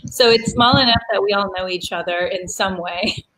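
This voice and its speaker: A woman's voice: medium pitch, normal speed, a bit bright, poor audio. Young woman, chatty, laughs a lot, faint background hiss, remote video call. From United States. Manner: chatty, laughs often, many fillers. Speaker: interview guest. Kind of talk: casual video interview.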